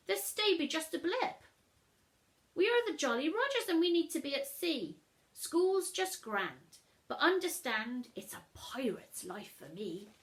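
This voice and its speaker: Storybook pirate lad, played by a woman: high, bouncy intonation, boastful and friendly, salty sailor talk. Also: fairly bright tone, heavily acted. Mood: proud, carefree. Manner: nautical pirate slang. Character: cheerful young pirate boy, boastful and friendly.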